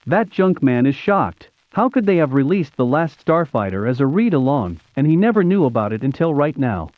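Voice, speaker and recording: A man's voice: fairly high pitch, sing-song, moderate pace. An American man in his fifties narrating nostalgically in a scripted storybook style. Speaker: YouTube show host. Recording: tinny phone-like narrow-band sound.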